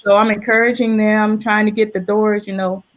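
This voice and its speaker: A woman's voice: mid-range voice, level tone, moderate pace. Earnest older woman chatting as guest. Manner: earnest, conversational, repeats phrases for emphasis. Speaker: illustrator and artist, interview guest. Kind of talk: casual interview chat.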